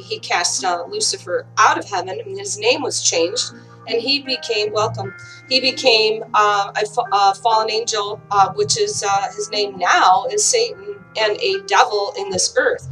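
A woman with a dry voice giving an informal online Bible teaching, halting often with fillers and restarts. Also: medium pitch, fairly bright tone, rough recording. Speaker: online preacher.

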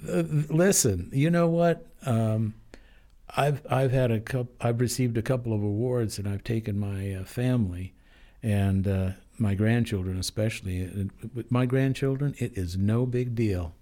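An older man from Denver, a judge, chatting in an interview, speaking hesitantly with many pauses and fillers and frequent chuckles. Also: on the low side, mellow. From Denver, Colorado. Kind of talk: casual interview chat.

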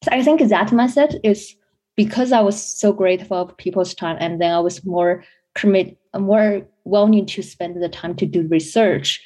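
A woman's voice: mid-range voice, normal speed, darkish tone, poor audio. A young woman from China, a business school student answering interview questions in a chatty way with many fillers and restarts. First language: Chinese.

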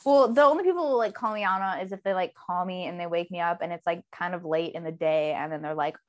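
A woman's voice: lowish voice, quick pace, lo-fi sound. A sleepy young woman in casual chat, rambling with lots of fillers and giggles. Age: young adult.

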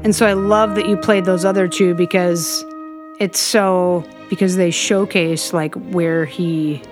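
A woman's voice: fairly low pitch, fairly even intonation, a little slow. An American woman co-hosting a casual chat about classical music, rambling in a laughing, easygoing way. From United States. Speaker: podcast co-host.

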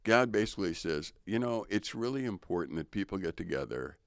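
Deep-voiced older man musing on faith. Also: lively intonation, fairly quick, dry recording, clean studio sound. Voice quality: low voice. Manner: thoughtful, reflective, preachy. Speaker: co-host. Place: radio studio.

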